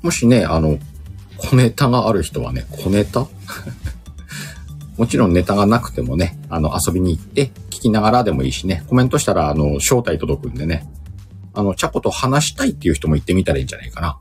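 A man's voice: low voice, big pitch swings, brisk, faint music behind. A man hosting a casual livestream chat, speaking informally in slang and often chuckling. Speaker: livestream host.

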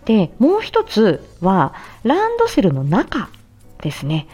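Animated, bright-voiced woman explaining casually. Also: mid-range voice, measured pace. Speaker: speech therapist, audio show host. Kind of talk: casual explanatory solo talk. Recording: slightly dull, muffled lo-fi recording.

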